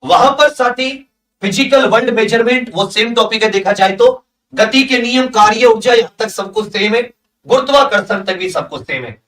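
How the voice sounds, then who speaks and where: A man speaks in a very high-pitched voice as a coaching teacher. He goes through a list item by item, dropping in English technical terms. The room is clearly echoey and he sounds some distance from the microphone. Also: expressive, moderate pace, fairly bright tone. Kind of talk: lesson, reading through a syllabus list with brief comments.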